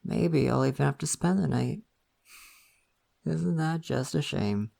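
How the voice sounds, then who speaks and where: Man playing bashful male college crush, ASMR roleplay, casual and rambling, awkwardly flirty, animated intonation, crackly vocal fry. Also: medium pitch, a little slow, full character voice. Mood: flirty, coy. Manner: hesitant, rambling, chuckling self-consciously. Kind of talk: intimate ASMR roleplay, casual conversational acting.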